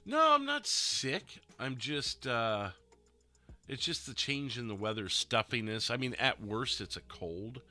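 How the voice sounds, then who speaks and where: A man chatting casually with his stream viewers, his voice a little rough and stuffy, rambling and laughing often. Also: medium pitch, big pitch swings, measured pace, bright, forceful tone, soft background music. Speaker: livestreamer playing a roleplay dispatcher.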